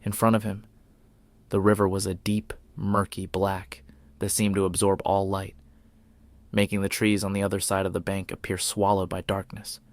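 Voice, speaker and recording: A man narrating a scripted suspense story in a brooding, deliberate manner, with short dramatic phrases. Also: low-pitched, completely flat delivery, dry recording.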